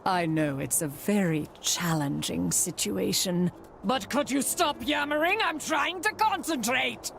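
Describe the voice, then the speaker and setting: A woman's voice: mid-range voice, unhurried, vocal fry, background noise. A woman voicing a fussy, self-important mystic helper in a cartoon video game, theatrical and testy. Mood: testy, straining.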